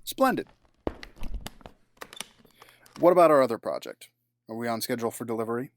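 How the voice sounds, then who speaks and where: An actor playing a cold, scheming middle-aged businessman villain in an audio drama, formal and measured with a sardonic edge. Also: medium pitch, lively intonation, slight background noise, close to an everyday voice. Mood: suspicious, commanding.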